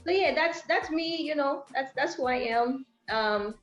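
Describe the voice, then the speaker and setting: A woman's voice: sits high, animated, unhurried, reverberant, highs cut off, faint music behind. A young woman with a thick Haitian accent, a singer being interviewed, talking in an upbeat, bubbly way with lots of fillers. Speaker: guest singer. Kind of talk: interview conversation.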